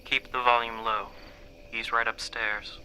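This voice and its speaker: An actor playing a smooth-talking, formal conspirator in a radio drama, persuasive and measured. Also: male voice, medium pitch, level tone, close to an everyday voice. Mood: hushed, scheming. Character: smooth-talking conspirator luring recruits for aliens. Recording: clearly noisy background.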